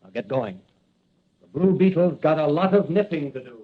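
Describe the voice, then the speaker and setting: An actor playing a bold, wisecracking masked crime fighter in an old-time radio adventure serial, with a punchy, confident, declamatory delivery. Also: male voice, on the high side, lively intonation, normal speed, noisy background, close to an everyday voice. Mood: reassuring. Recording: tinny vintage radio recording.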